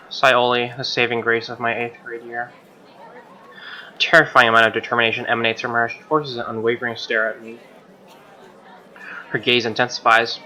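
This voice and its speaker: A man's voice: mid-range voice, level tone, normal speed, pauses often, sharp tone, lo-fi sound, some chatter behind, slight background noise. A young man streaming, reading game text aloud and adding slangy, offhand casual commentary. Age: young adult.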